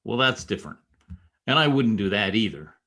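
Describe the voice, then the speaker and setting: A man's voice: fairly low pitch, lively intonation, brisk, pushed and bright, full character voice. A man playing a stubborn, sarcastic newspaper reporter in an old-time radio comedy. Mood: defiant. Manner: argumentative, sarcastic.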